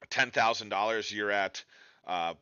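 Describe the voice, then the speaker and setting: Low, bright-voiced man presenting a webinar. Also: a little slow, clean tone, dry recording, lo-fi sound. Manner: conversational, direct, peppers points with tag questions. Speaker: webinar presenter, digital marketing instructor. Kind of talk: informal instructional webinar presentation.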